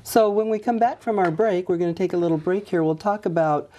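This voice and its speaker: A woman's voice: lowish voice, quick pace, dark tone. A middle-aged woman hosting a local TV talk show in a small studio, friendly and steering the talk. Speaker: host, town mayor.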